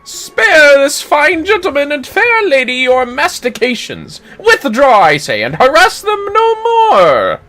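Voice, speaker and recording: A man voicing a confused, grandiose undead gentleman inspector in a game, declaiming in a pompous, theatrical way. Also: very high voice, huge pitch swings, slow, hardly any pauses, faint music behind, pitched above the performer's own voice, heavily acted. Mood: commanding, grandiose.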